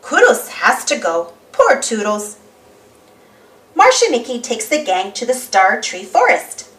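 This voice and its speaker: A woman narrating a children's storybook in an animated read-aloud style. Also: a little high, a little slow, distant mic. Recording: roomy, voice away from microphone.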